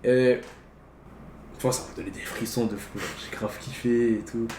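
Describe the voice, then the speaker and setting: A man's voice: medium pitch, steady tone, normal speed. Young adult male, mid-range voice, casual heavy conversation, echoey room sound. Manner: slangy, peppered with English words. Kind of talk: casual chat about films. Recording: roomy echo, faint background noise.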